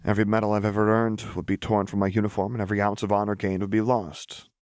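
A man voicing an earnest, tender young woman soldier in audiobook dialogue, with a soft, breathy voice and deep devotion. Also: level tone, fast talker, no echo, studio quality, lightly acted. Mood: resolute, solemn.

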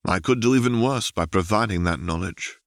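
A man voicing a weary, war-hardened male knight who speaks coldly and clipped, in a low gravelly voice. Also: a little fast, no room sound, clean studio sound. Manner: clipped, cold.

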